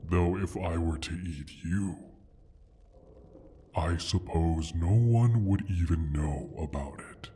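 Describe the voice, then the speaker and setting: A man playing an injured, menacing dragon who guards his gold hoard, speaking in a slow, deliberate, husky voice in an ASMR roleplay. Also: dark tone, slight background noise. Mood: musing, threatening. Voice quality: husky, breathy, deep.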